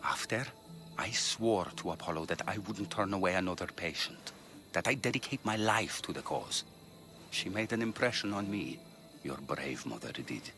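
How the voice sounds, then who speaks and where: Ancient Greek physician, very low voice, lively intonation, earnest lecturer, dedicated, video game drama. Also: male voice, normal speed, soft background music. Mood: solemn, regretful.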